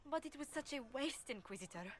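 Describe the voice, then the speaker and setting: A woman playing a refined, polite and formal diplomat with a soft heart in a video game. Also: high-pitched, animated, brisk, slight background noise. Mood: anguished. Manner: polite, formal, easily flustered. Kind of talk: dramatic video game dialogue. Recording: game audio with background ambience.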